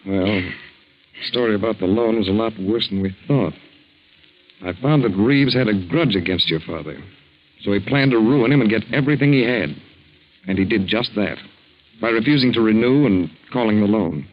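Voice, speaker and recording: An actor playing a protective young suitor in a 1940s radio drama, earnest, urgent and reassuring. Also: male voice, on the low side, lively intonation, moderate pace, lightly acted. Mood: urgent, explaining. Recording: dull old 1940s radio recording.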